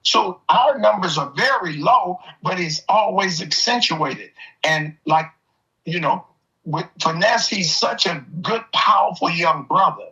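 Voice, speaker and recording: A man's voice: sits high, animated, a little slow, bright, forceful tone. An older man in casual, opinionated conversation, telling stories in an emphatic, streetwise way. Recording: dull, lo-fi audio.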